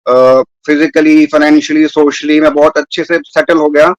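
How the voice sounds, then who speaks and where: A man's voice: fairly high pitch, level tone, normal speed, no room sound, muffled recording. A man from New Delhi in his thirties, telling his personal story earnestly and at length as a guest on a video call. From New Delhi, India. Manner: earnest, rambling, mixes English words into speech.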